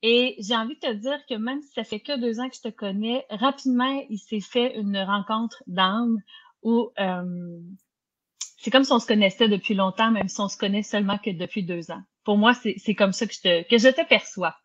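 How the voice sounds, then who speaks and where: A woman's voice: medium pitch, moderate pace, fairly bright tone. A woman hosting a live online interview over a video call, friendly and enthusiastic, with filler words. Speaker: host of the interview. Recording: dull lo-fi video call audio.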